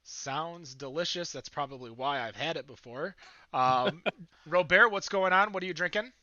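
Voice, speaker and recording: A man's voice: fairly high pitch, moderate pace, pushed and bright, lo-fi sound. A man hosting a podcast over a video call, upbeat and rambling with fillers. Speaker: podcast host.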